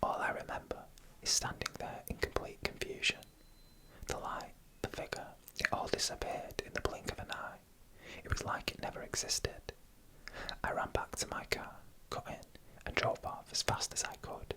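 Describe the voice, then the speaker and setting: Breathy whispered ASMR horror story narrator. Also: rough recording. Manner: hushed, deliberate, phrase by phrase. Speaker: narrator reading online stories.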